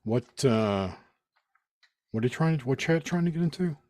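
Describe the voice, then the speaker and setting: A man's voice: mid-range voice, expressive, moderate pace, a little mellow, dry recording. A man co-hosting a podcast in casual chat, teasing the others with dry wisecracks. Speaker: podcast co-host.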